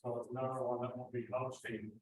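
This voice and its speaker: A man using a loud coaching voice without a microphone, making chamber announcements at a member meeting. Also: medium pitch, level tone, fast talker, slight background noise. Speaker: chamber of commerce representative. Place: meeting room. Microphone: none, room pickup. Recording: very roomy, from far off, faint background ambience.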